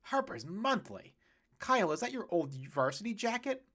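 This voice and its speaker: A man's voice: sits high, very animated, normal speed, bright, forceful tone, pitched above the performer's own voice, full character voice. A man doing an exasperated, fussy dad.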